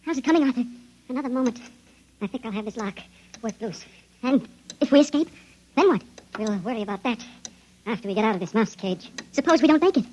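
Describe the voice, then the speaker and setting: High-voiced, frightened tiny shrunken man. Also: expressive, quick pace, long pauses, darkish tone. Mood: anxious, hushed urgency. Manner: urgent, anxious, clipped. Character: frightened five-inch-tall shrunken man plotting escape. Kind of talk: 1950s radio drama acting. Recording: dull, noisy 1950s radio recording.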